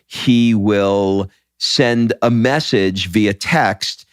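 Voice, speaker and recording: A man with a deep voice co-hosting a podcast, telling stories with animated energy and frequent restarts. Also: very slow, no room sound, clean studio sound. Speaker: podcast co-host. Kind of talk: casual podcast chat.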